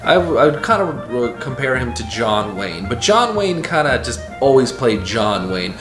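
A man in his thirties hosting a casual explainer vlog from his living room couch, enthusiastic and lively as he tells stories. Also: mid-range voice, normal speed, roomy sound, over music. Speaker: video host.

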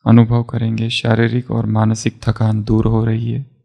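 Guy leading guided relaxation, very flat and slow, calm instructions w long gaps. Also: on the low side, creaky. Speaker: yoga nidra meditation instructor.

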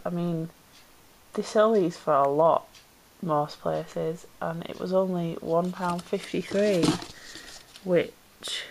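Chatty woman with a low voice, bit bunged up from a cold, going through a box at home, faint hiss. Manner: chatty, self-deprecating, rambling with ums. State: slightly congested with a cold. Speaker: pet vlogger. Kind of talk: casual unboxing chat. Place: indoors at home, camera pointed down into a box.

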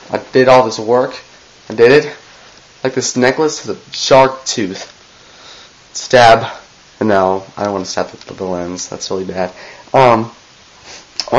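A man's voice: mid-range voice, muffled recording. A teenage boy recording a rambling, goofy birthday message on a webcam in his small bedroom. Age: teens. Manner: goofy, rambling, self-interrupting. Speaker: vlogger. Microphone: webcam close to face.

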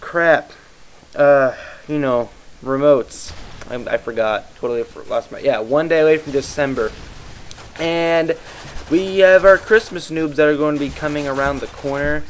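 A man's voice: fairly high pitch, moderate pace, slight background noise, low-quality recording. A tired young man from the Midwest rambling casually as he commentates over gameplay, often losing his train of thought. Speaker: YouTube gaming commentator.

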